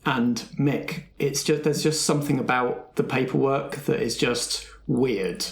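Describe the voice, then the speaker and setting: A man narrating as game master in an improvised roleplay, with a creaky voice. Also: mid-range voice, steady tone, unhurried. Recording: roomy, faint noise.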